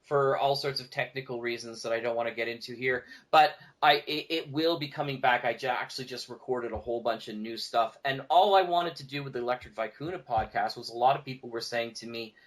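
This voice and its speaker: A man talking casually as an interview guest, telling stories in an animated way and acting out bits of dialogue. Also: mid-range voice, fairly quick, few pauses. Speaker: podcast guest, audio drama producer and show host.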